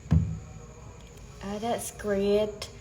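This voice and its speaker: Slow, hesitant Indonesian woman. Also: mid-range voice, flat delivery, over music. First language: Bahasa Indonesia. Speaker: mother practicing English. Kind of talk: casual conversation practice.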